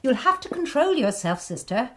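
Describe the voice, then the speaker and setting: An actress playing a young Sister Superior who talks in a firm, clipped and commanding way, and who also narrates. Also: female voice, high-pitched, big pitch swings, normal speed. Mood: stern.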